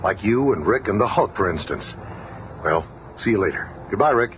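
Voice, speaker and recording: An actor playing a level-headed, courteous scientist hero leader in a 1970s superhero radio drama. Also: male voice, on the low side, expressive, moderate pace, lo-fi sound, close to an everyday voice. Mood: calm, friendly. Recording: noisy old radio recording.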